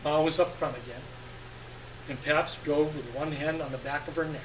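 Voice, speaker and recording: A man giving an expressive, deliberate dramatic reading of literary fiction on stage. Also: fairly high pitch. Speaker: story reader. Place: live stage reading event. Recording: echoey room, distant mic, faint noise.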